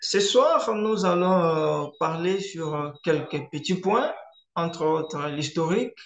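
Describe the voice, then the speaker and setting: A man from eastern Congo, a Swahili speaker, giving a measured online presentation as a conservationist with frequent hesitation fillers. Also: fairly high pitch, lively intonation. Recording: roomy echo.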